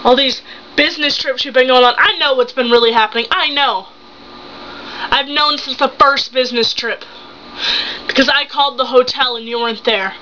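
Young woman acting a betrayed, high-voiced accusing wife. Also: sharp tone. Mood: bitter, accusing. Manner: emphatic, accusing, halting with dramatic pauses. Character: betrayed, hurt and angry young wife and mother. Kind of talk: improvised dramatic monologue. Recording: muffled home recording with hum.

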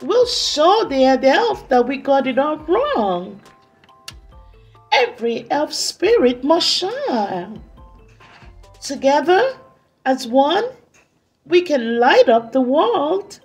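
A brave young elf girl from a storybook, acted by a woman, speaks in a high, lively voice. She is earnest and eager, rallying those around her. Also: takes it slowly, long pauses, faint music behind, full character voice. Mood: determined.

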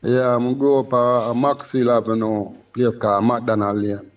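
A man's voice: mid-range voice, level tone, moderate pace. Older male guest, Jamaican accent, Rastafarian priest, casual and reverent, distant, slightly muffled recording. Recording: distant, slightly dull remote sound.

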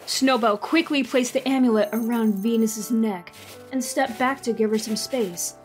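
High-voiced woman narrating a storybook. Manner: measured, storytelling. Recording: faint music behind.

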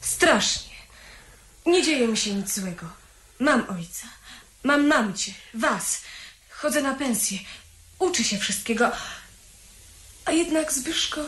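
High, plaintive sickly girl. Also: fairly bright tone, exaggerated voice. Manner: hesitant, plaintive, halting. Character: sickly, timid, sorrowful schoolgirl daughter. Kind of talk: radio play acting. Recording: echoey room, faintly noisy old recording.

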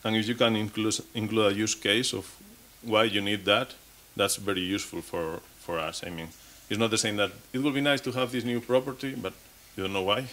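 A man's voice: on the low side, steady tone, brisk, hardly any pauses, fairly bright tone. A man in his thirties giving a technical conference talk on stage at a podium mic, with frequent restarts and repeated words. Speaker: conference speaker.

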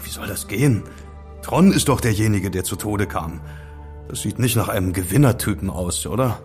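An actor playing a sceptical amateur investigator in an audio drama, asking direct, slightly flippant questions. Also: male voice, on the low side, normal speed, a little mellow, faint music behind, natural voice. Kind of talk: German audio drama dialogue acting.

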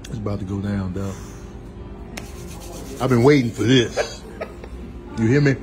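A man giving comedic, casual commentary in an emphatic, slangy, animated manner. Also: fairly low pitch, a little slow, over music. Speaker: comedian doing voiceovers and food vlogs.

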